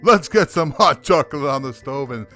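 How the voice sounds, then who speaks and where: A man's voice: fairly high pitch, animated, moderate pace, sharp tone, faint music behind. A man playing a jolly, stubborn old Santa Claus in a comedy audio drama, with a grand, theatrical manner. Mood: jolly resolve.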